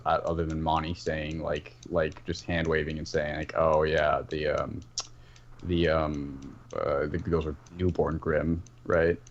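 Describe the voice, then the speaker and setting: A man with a very low voice chats casually as a podcast panelist. He speaks haltingly, circling back over his phrases. The sound is slightly muffled, with background noise and some typing. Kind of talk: casual fan discussion of an animated series.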